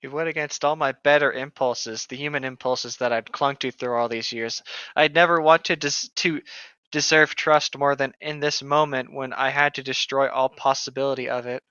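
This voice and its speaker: A man's voice: medium pitch, steady tone, normal speed, pushed and bright, smooth, no room sound. A man co-hosting a joking book read-along, sarcastic, mock-serious and freely profane, on a slightly lo-fi mic. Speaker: podcast co-host reading a novel aloud.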